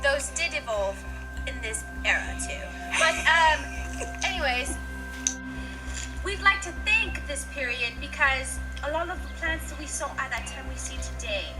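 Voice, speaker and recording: A woman's voice: high voice, animated, unhurried, poor audio. A woman co-presenting in a casual, playful style. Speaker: co-presenter of a student educational newsreel. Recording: very loud music over the voice. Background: loud music.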